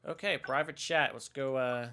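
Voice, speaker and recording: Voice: medium pitch, moderate pace, sharp tone, a little hiss or hum. Casual game streamer with joking, rambling asides. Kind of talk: casual gameplay commentary.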